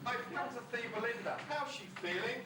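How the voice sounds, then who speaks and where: A lovesick young suitor played by a man in a comic pantomime, earnest and easily flustered. He speaks his rhymes theatrically in an echoey hall, with clear background noise. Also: very high voice, brisk. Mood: flustered, anxious. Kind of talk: amateur pantomime stage acting in rhyme. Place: school hall stage, distant microphone. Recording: echoey, noisy stage recording from far off.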